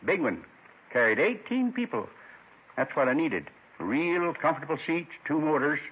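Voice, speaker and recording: Wistful old man, husky, muffled radio. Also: a little high, very animated, normal speed. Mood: earnest. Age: 70s. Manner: wistful, folksy, rambling in short clipped phrases. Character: lonely, gentle 72-year-old man confessing a robbery. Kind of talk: 1950s radio drama acting. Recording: muffled 1950s radio recording.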